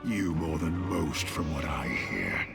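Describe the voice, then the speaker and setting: An actor playing a dryly sceptical, wary scholar in a fantasy game, guarded and formal. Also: male voice, extremely low pitch, sing-song, slow, creaky, full character voice. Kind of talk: fantasy game cutscene acting. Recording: loud music behind voice. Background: game score music.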